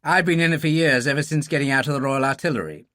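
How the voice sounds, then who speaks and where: A man's voice: a little high, fast talker, sharp tone, dry recording, clean studio sound. A man voicing a genteel English arms dealer in a thriller audiobook, with an English accent and polite, plummy, slightly nervous speech. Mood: polite, smooth. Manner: clipped, polite, plummy old-chap speech, nervously eager.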